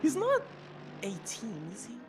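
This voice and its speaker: A playful co-commentator banters through a sim race broadcast, laughing often and swinging the voice widely up and down. A steady, clearly audible noise sits under the speech. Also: medium pitch, measured pace. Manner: playful, laughs often, lively swooping exclamations. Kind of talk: casual live race commentary.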